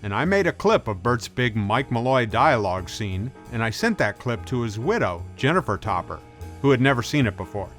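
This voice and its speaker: A man's voice: mid-range voice, big pitch swings, brisk, fairly bright tone, faint music behind. A man hosting a scripted video essay in a conversational style, as a film commentator.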